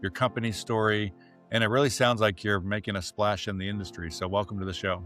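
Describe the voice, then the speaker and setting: A man's voice: lowish voice, fairly even intonation, a little fast, a bit bright, soft background music. A man hosting a podcast interview, asking questions in an easygoing way with fillers. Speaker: podcast host. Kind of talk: casual interview chat.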